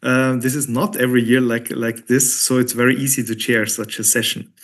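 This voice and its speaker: Man, medium pitch, session chair, courteous, orderly conference moderation. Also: level tone, fairly quick, fairly bright tone.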